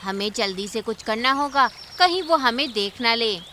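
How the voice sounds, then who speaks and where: औरत की आवाज़ में तीखी, चौकन्नी चिड़िया. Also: female voice, fairly high pitch, expressive, normal speed, bright, forceful tone, a little hiss or hum. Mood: wary. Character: cautious, determined clever female bird in a cartoon. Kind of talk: cartoon character acting.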